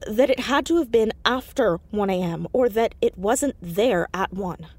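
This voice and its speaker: A woman playing an anxious, earnest high school girl in an audio drama, hesitant but insistent as she talks. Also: normal speed, husky, no room sound, lightly acted. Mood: frustrated, anxious. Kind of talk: naturalistic audio drama acting.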